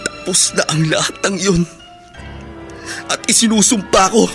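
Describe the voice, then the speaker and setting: Earnest young Filipino son, higher-pitched. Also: male voice, unhurried, a bit bright, faint music behind. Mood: remorseful, determined. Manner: earnest, emotive, pleading. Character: eager young man freed from prison, hopeful, devoted son. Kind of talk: Filipino radio drama acting.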